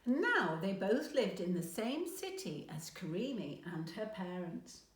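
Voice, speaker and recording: A woman's voice: mid-range voice, very animated, unhurried, roomy sound. A woman from England reading a children's story aloud expressively, as if to a small child.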